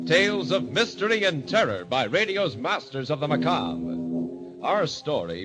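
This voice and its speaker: An actor playing an ominous horror host, introducing tales in a portentous 1940s radio manner. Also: male voice, mid-range voice, low-quality recording. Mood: grand, announcing. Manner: portentous, dramatic. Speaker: announcer. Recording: vintage radio broadcast with loud music. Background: loud dramatic music.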